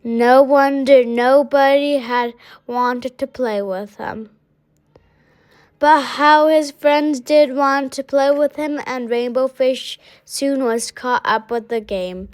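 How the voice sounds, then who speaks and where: A woman reading a children's story aloud in a halting way, sometimes stumbling over words. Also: sits high, a little mellow. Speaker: storybook reader.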